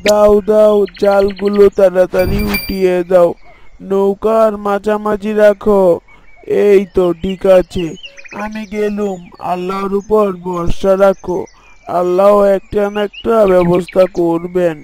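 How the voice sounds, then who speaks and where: A man's voice: high-pitched, flat delivery, a little slow, dark tone, slight background noise. A man playing a devout, hardworking fisherman father in a village story drama, instructing and reassuring his family. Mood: calm, busy. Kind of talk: Bengali Islamic moral story drama acting.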